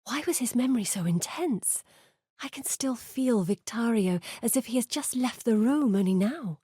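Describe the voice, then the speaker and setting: A woman's voice: a little high, expressive, normal speed, warm tone, breathy edge, dry recording, clean studio sound. An actress playing an earnest, curious woman scholar in a video game, articulate, thoughtful and dramatic in delivery. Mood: puzzled, uneasy. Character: earnest, curious woman scholar guiding an exile through memories. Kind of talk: video game character voice acting.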